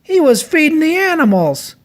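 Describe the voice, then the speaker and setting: A stern male zookeeper from a children's storybook, acted out by a narrator. He speaks with commanding, urgent emphasis, pitched up above ordinary narration as he scolds. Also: very animated, normal speed. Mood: angry, yelling.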